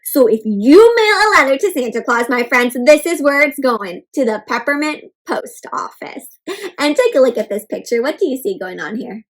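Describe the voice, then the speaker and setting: A woman's voice: high-pitched, expressive, moderate pace. A woman hosting an interactive storybook read-aloud for kids, asking questions and explaining words simply. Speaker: children's read-aloud host, teacher.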